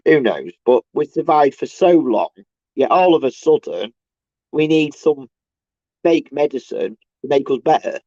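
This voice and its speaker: A man with a croaky, tired voice and a sore throat from a cold, rambling casually and haltingly as a solo video host, repeating himself and swearing in exasperation. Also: on the high side, lively intonation, darkish tone, no room sound. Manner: halting, repetitive, searching for words. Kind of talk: casual rambling monologue to camera. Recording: dull, lo-fi sound.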